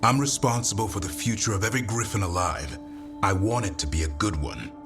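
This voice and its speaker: Man acting a deep, earnest griffin-keeping warrior. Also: a little fast, over music, lightly acted. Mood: reflective, troubled. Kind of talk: video game dialogue acting.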